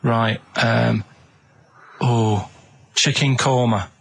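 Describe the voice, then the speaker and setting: A man in casual comic radio chat, telling things in a rambling, halting, colloquial way. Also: medium pitch, fairly even intonation, vocal fry, slightly rough. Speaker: radio show regular.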